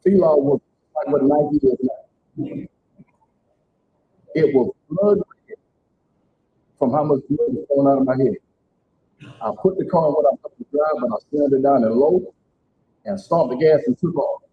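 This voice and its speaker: A man's voice: very animated, a little slow, gravelly, long pauses. A middle-aged man, telling his personal story emphatically to a live audience at a church event. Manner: storytelling, emphatic, plain-spoken. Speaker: speaker at community event. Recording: tinny phone-like sound, faint rumble.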